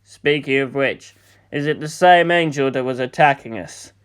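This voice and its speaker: A narrator voicing a gloomy male commander with terse, measured speech, in an audiobook. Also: mid-range voice, clean tone, pitched below the performer's own voice, lightly acted. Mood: cool, inquiring.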